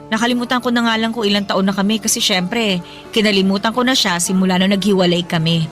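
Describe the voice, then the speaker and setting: An actress playing a feisty, bubbly young office worker on a date in a Filipino audio drama, speaking expressively with a Filipino accent. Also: female voice, mid-range voice, fast talker, soft background music, close to an everyday voice. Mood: wry, confiding. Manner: playful, sassy, expressive. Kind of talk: Tagalog audio drama dialogue.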